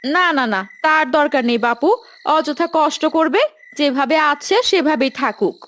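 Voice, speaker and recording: A woman's voice: high voice, moderate pace, a bit bright. A woman playing a practical, admonishing village wife and mother in a cartoon family drama. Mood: exasperated, scolding.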